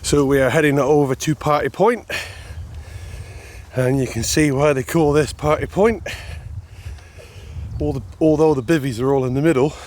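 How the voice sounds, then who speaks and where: An English man vlogging outdoors at a fishing lake, chatty and friendly, his voice still slightly hoarse as it recovers. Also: a little high, steady tone, moderate pace, a little mellow. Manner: chatty, friendly, laughs easily. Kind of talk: casual outdoor chat.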